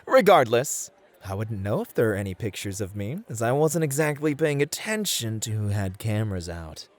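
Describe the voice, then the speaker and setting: An actor playing a beefy, experienced club host, a flirty and politely teasing charmer in a scripted audio roleplay. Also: male voice, fairly low pitch, sing-song, normal speed. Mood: teasing, suave.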